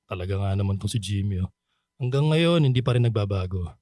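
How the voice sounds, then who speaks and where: An actor playing a kindly, bashful middle-aged Filipino bachelor in a radio drama, talking gently and considerately. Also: male voice, on the low side, animated, brisk, dry recording, studio quality, close to an everyday voice. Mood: apologetic, gentle.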